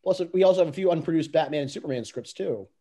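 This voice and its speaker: A man chatting casually as a panelist, halting and often repeating the start of his phrases. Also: a little high, dark tone. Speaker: panelist on a script club livestream. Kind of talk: casual group chat.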